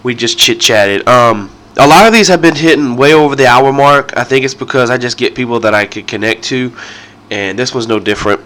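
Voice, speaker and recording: A man's voice: mid-range voice, a little fast, fairly bright tone. A man hosting a podcast, nasal and congested from a sinus infection, rambling casually through his intro with lots of ums and restarts. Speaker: podcast host.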